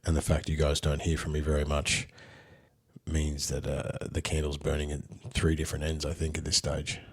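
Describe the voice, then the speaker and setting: Australian male host, husky and a bit under the weather, casual solo intro, very deep tone. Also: monotone, moderate pace. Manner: laid-back, candid, chatty. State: unwell. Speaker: podcast host.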